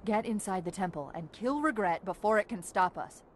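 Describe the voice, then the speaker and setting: An actress playing a commanding, brisk military woman in a science-fiction video game. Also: mid-range voice, lively intonation, over music, lightly acted. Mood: urgent, tense. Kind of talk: video game cutscene acting. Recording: loud game score behind.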